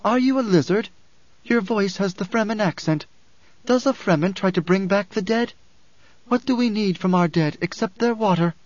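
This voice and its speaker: A male narrator acts out a young priestess in a storybook epic, pitched well up. She is imperious and mystical, declaiming in an incantatory way. The sound is dry and slightly dull. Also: measured pace, no room sound. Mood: sharp, angry.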